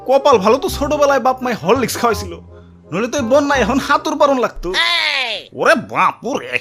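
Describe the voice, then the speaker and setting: A man's voice: very high-pitched, big pitch swings, quick pace, fairly bright tone, faint music behind, heavily acted. A man voicing a wry, good-natured poor city man in a comic cartoon, chattering and grumbling in a Bangladeshi accent.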